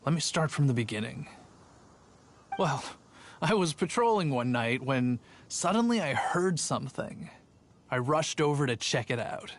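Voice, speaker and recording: An actor playing a chatty, somewhat cowardly young city guard in a video game. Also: male voice, mid-range voice, expressive, a little slow. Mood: boastful. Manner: chatty and boastful, a bit sheepish.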